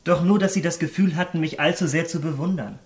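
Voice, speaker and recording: An actor in a German radio drama playing an elegant, secretive young gentleman, charming but guarded, with polished formal diction. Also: a little high, fast, lightly acted. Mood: soothing, light. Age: young adult.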